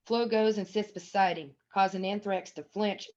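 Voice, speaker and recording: A woman's voice: medium pitch, steady tone, normal speed, darkish tone. A woman narrating a screenplay at a table read, reading scene headings and stage action as scripted narration. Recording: dull, lo-fi sound.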